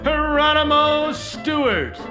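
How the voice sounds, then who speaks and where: A woman announces a show from a script with highly animated pitch. Her delivery is slow and stately in pace, in an echoing space with music behind her.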